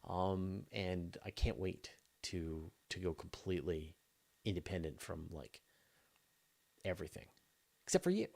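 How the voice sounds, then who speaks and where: A man's voice: deep voice, expressive, unhurried, gravelly, long pauses, a little mellow. A man hosting a casual live question and answer session, talking informally and thinking aloud in broken phrases. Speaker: host of a space news channel.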